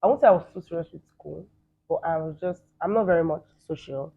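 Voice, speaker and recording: A Nigerian man in the entertainment field giving quick, emphatic opinions in a casual interview. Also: a little high, vocal fry, breathy edge. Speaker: guest, entertainer. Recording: thin phone-like sound.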